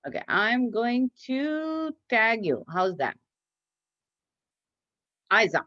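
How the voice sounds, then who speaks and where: A woman telling a story and teaching children in an expressive, encouraging, teacherly way over a video call. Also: a little high, slow pace. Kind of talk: read-aloud storytelling and quizzing children in an online class. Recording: dull lo-fi online class audio.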